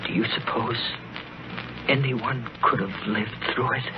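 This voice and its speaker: A man playing a fading, despairing spaceship crewman talks very slowly and haltingly. His weary voice belongs to an old radio drama, with clear noise on a dull recording. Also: mid-range voice, lightly acted. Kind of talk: 1940s radio drama acting.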